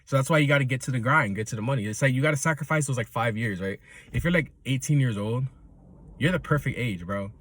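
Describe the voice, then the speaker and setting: A man's voice: medium pitch, quick pace, fairly bright tone, dry recording. A man in his twenties talking off the cuff in a loose, rambling monologue, chuckling often and checking in with the listener. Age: 20s. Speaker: solo talker.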